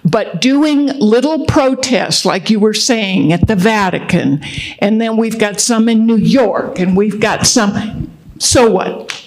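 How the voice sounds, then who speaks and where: A woman from the audience speaks very slowly and deliberately into a handheld microphone in a university hall. Her manner is frustrated and emphatic, stretching out each phrase. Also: mid-range voice, a little hiss or hum. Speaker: audience member. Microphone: handheld mic.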